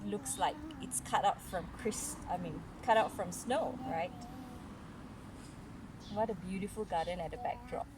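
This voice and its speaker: A woman's voice: on the low side, measured pace, noisy background. A mother reading a children's story aloud, breaking off for casual explanations and questions to her child.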